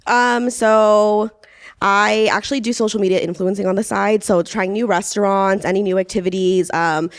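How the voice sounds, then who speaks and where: A woman's voice: medium pitch, steady tone, moderate pace. A woman in her thirties, a dating show contestant, talking in a chatty way with many fillers. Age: 30s. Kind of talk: casual dating show talk.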